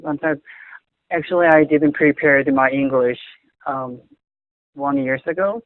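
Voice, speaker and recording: Young man, Korean accent, non-native English, slow and hesitant, dry tinny line. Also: fairly high pitch, no room sound. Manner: halting, searching for words, frequent restarts. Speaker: interviewee. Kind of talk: casual conversation in English. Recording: tinny phone-like audio.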